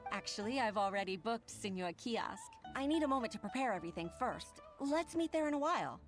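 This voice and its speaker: A woman's voice: a little high, lively intonation, fairly quick, soft background music, natural voice. A woman playing an earnest, modest and respectful working mother in a video game, speaking politely and sincerely with some hesitation. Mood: polite, inviting. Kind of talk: dubbed game dialogue acting.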